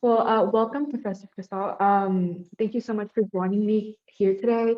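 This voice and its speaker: A woman's voice: medium pitch, fairly even intonation, moderate pace. Young woman interviewer, filler-heavy questions. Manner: friendly, filler-heavy, with many ums and likes. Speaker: student interviewer. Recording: slightly dull lo-fi audio.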